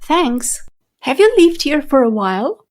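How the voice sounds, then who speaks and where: A woman's voice: sits high, very animated, measured pace. A woman playing a polite, friendly woman who just moved in and works in IT. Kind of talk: scripted conversation for listening practice.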